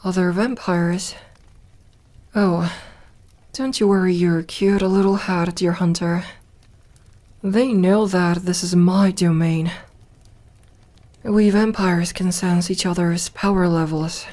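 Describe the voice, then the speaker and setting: A woman playing a lonely, lovelorn vampire in an intimate ASMR audio roleplay, gentle and wry, speaking softly with hesitant pauses. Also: on the low side, fairly even intonation. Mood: teasing, protective. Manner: hesitant, soft-spoken, slow with pauses.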